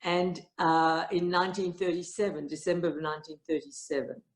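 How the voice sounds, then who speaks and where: A woman's voice: on the low side, flat delivery, brisk. A woman in her eighties from Italy giving personal testimony over a video call, hesitant with many fillers. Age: 80s. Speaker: Holocaust survivor interviewee.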